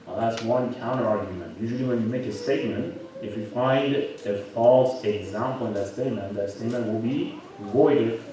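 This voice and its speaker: A man's voice: medium pitch, normal speed, few pauses, dark tone. Man preaching in a very echoey hall. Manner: conversational, questioning, frequent rhetorical questions and "right?" tags. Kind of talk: interactive sermon lecture to a congregation. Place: large echoing religious hall. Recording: very echoey hall, distant, audible congregation.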